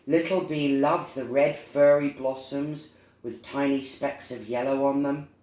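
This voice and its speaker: Slow-paced man reading a children's story. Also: mid-range voice, steady tone, a little mellow. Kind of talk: scripted children's story narration. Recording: echoey room sound.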